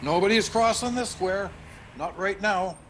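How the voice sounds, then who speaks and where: High-pitched officious policeman played by a man. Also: a little slow, fairly bright tone, slight background noise. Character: officious, chatty policeman on street duty. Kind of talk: theatrical radio play acting. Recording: radio play with music behind.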